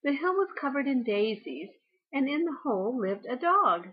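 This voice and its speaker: A woman's voice: sits high, very animated, moderate pace, husky, dull audio. An older woman, a grandmother, reading a storybook to a child with casual asides.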